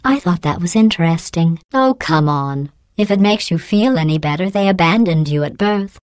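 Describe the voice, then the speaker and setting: A coldly sarcastic female AI overseer, voiced by a woman, delivers test announcements in a calm, clipped, deadpan manner with quiet menace. Her voice sits low for a woman and comes across smooth and resonant. The recording is close and dry, like a video game dialogue line. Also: moderate pace, no echo, close to an everyday voice. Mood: mocking. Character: cold sarcastic female AI running test chambers.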